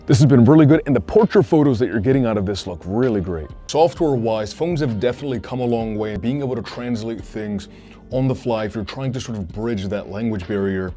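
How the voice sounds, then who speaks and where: A man's voice: fairly low pitch, mellow. A man giving a casual, conversational product review as a tech reviewer, often dropping "like" into his sentences. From Puerto Rican. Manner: conversational, frequent "like" asides.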